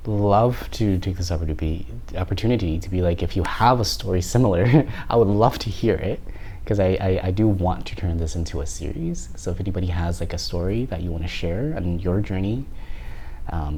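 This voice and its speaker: A man's voice: sits low, warm tone, a little hiss or hum. A man in his thirties from Canada, an artist talking through his work in an interview, thoughtful and often restarting his words. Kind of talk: reflective interview talk.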